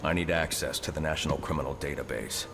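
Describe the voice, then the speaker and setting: Grim masked hero for a game, super deep creaky growl, bossy and terse, loud music under it. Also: male voice, fairly even intonation, fast, background noise. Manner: terse, commanding, stern. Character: grim, intimidating masked vigilante in his prime. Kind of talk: dramatic video game acting.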